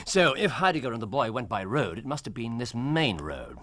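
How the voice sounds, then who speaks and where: A gentleman detective in a British period drama, English-accented and courteous. His speech is formal and probing, swinging freely in pitch, recorded close and dry. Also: very animated, brisk, no echo. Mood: absorbed, deliberate. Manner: precise, formal, questioning.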